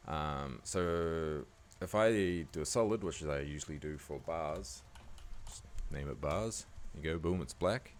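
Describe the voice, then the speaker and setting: A man with a croaky voice giving a laid-back, casual editing tutorial, talking loosely with lots of fillers. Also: a bass voice, measured pace. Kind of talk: casual screen-recording tutorial voice-over.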